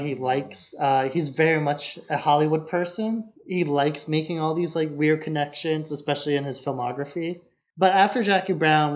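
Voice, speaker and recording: A man co-hosting a podcast, explaining film matters in an informal, casual discussion. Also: fairly high pitch. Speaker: podcast co-host. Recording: dull, muffled lo-fi podcast audio.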